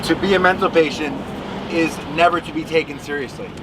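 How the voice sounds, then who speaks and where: A man's voice: on the high side, a little fast. A man reciting lines of a poem at a public protest. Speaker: protest participant reading a poem. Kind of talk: public poetry reading at a protest. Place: outdoors at a street protest. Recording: clear outdoor traffic noise.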